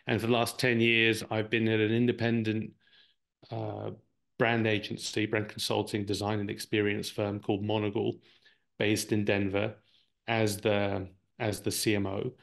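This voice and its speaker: A Brazilian-born man who speaks with an English accent and whose first language is Portuguese, explaining ideas at length as an interview guest. His voice sits fairly low and has a gravelly, creaky edge. He often circles back and repeats words while he finds his phrasing. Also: monotone, normal speed, a bit bright. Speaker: guest, marketing executive. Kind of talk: conversational interview answers.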